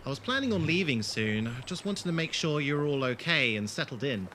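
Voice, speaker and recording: Bright-voiced actor as a gentle, awkward wanderer. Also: male voice, fairly high pitch, big pitch swings, brisk, a little hiss or hum. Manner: hesitant, earnest. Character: gentle, awkward, war-scarred male wanderer with strange powers. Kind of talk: audio drama acting.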